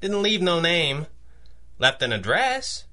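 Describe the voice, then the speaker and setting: Radio play: guarded working-class male clerk, unhurried drawn-out delivery, sharp bright tone. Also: a little high, sing-song, exaggerated voice. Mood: hesitant, helpful. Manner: colloquial, guarded, hesitant. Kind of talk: old-time radio detective drama.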